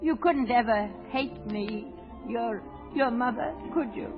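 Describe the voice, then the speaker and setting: An older actress playing an anxious, devoted mother, speaking with halting, earnest emotion in old radio drama style. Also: a little high. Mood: tender, worried. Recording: muffled old radio broadcast with music.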